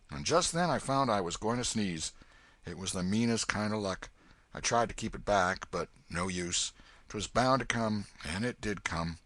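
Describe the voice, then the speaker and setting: A man voicing a kindly, gruff old Welshman with a booming, fatherly manner. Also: fairly bright tone, dry recording.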